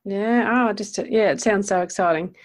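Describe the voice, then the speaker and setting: Lady hosting a casual interview, normal pitch voice, really affirming and encouraging. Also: moderate pace.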